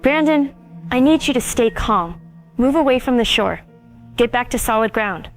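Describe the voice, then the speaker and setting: A woman's voice: fairly high pitch, expressive, normal speed, faint music behind. A woman playing a firm, controlled emergency dispatcher in an audio drama. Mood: urgent, commanding.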